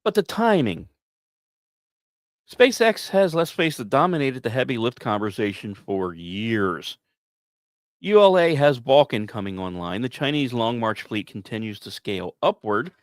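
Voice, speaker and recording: A man hosting a podcast, reading scripted narration in a measured, dramatic way with emphatic pauses and casual asides. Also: medium pitch, very animated, no room sound, studio quality. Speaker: podcast host.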